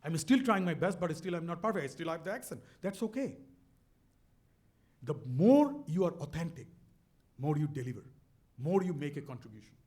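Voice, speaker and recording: A man's voice: a little high, very animated, normal speed, pauses often, a little mellow. A middle-aged man with a Bangladeshi accent, telling a motivational story in an earnest, emphatic way, often repeating words. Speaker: speaker on a stage talk.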